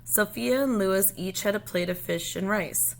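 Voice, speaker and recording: A woman reading a children's storybook aloud in a deliberate, expressive way. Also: mid-range voice, gravelly, rough recording.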